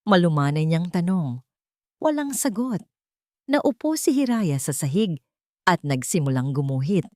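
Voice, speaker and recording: A woman reading a dramatic scripted love story as narrator, in a measured, solemn storytelling delivery. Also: fairly low pitch, big pitch swings, a little mellow, dry recording, studio quality.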